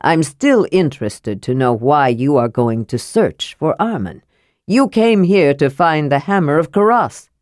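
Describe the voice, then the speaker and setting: A woman voicing a mysterious ancient male dwarf in a fantasy audiobook, mild and knowing, with a strong, deep voice. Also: animated, measured pace, darkish tone, dry recording, studio quality. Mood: calm, knowing.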